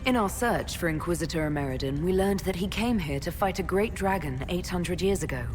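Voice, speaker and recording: An earnest woman leader, played by a woman in a game cutscene, with a somewhat low voice and measured, inquisitive delivery. A long reverb and loud music sit under her lines. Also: animated, close to an everyday voice. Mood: inquiring. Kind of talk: video game dialogue.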